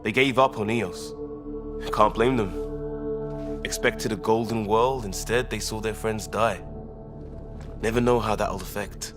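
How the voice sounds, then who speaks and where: A man's voice: lowish voice, fairly even intonation, takes it slowly, pauses often. An actor playing an earnest, troubled crewman on a starship in a video game. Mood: somber. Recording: music clearly behind the voice.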